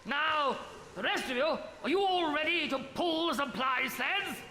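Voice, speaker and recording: A man voicing a pompous, clipped captain, all stiff-lip bluster. The voice is high, bright and slightly gravelly, ringing in a roomy live hall. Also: measured pace, a little hiss or hum, full character voice. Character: pompous upper-class male expedition captain. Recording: echoey live hall broadcast.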